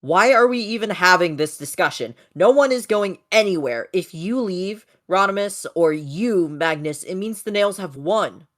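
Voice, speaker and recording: Bitter, abrasive robot strategist for an amateur comic dub, put on by a hobby reader, pitched up and argumentative. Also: lively intonation, normal speed, hardly any pauses, a bit bright, natural voice. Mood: indignant. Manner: agitated, argumentative.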